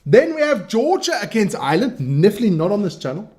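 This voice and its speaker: A man co-hosting a rugby show, teasing his partner with quick interjections. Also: sits high, sing-song, darkish tone, a little hiss or hum. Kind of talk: casual banter.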